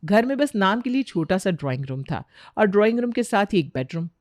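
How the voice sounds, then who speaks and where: Indian lady narrating a scripted family story, normal pitch, dry recording. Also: sing-song, fairly quick, darkish tone, dry recording.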